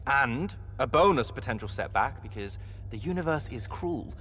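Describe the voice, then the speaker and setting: An actor playing a haughty, long-winded battlemage in a video game, speaking in a wordy, sardonic, mannered way. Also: male voice, mid-range voice, animated, moderate pace, fairly bright tone, a little hiss or hum, natural voice. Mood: wry. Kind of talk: game cutscene acting.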